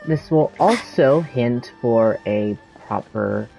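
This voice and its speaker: A man's voice: mid-range voice, expressive, takes it slowly, creaky, warm tone, soft background music. A man doing casual role-play over a video game, subdued and hesitant. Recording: dull, lo-fi microphone.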